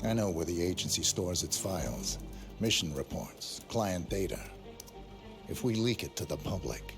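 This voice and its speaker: A stoic male assassin, speaks in a very deep voice. His lines are clipped and deadpan, as in a game cutscene, with music clearly behind him. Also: normal speed. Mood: cold, controlled. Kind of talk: video game cutscene acting.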